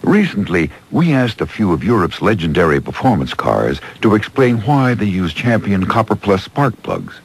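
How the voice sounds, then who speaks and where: A man's voice: lowish voice, very animated, moderate pace, few pauses, slightly rough, no echo. A man reading a scripted radio commercial as its announcer. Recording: home-taped radio ad over loud car sounds. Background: loud car engines.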